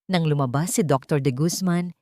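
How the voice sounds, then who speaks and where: A woman's voice: low voice, normal speed, a little mellow, no room sound. A woman reading a scripted dramatic story as a storyteller.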